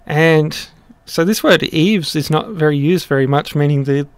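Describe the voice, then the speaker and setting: Middle-aged male teacher, slightly higher pitch, halting and reflective, dry close webcam sound. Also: smooth, no echo. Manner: thoughtful, halting, many restarts and fillers. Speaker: song translator explaining lyrics. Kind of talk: casual explanatory lesson. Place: small room indoors.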